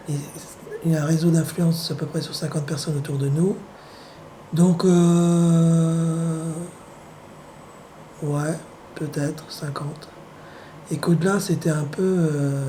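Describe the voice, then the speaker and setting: A man with the flu talks at home in a loose, meandering monologue, his hoarse voice mellow and dark. He is wry and sardonic, and he pauses often with drawn-out hesitations. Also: on the high side, a little hiss or hum. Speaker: vlogger. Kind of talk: rambling casual monologue.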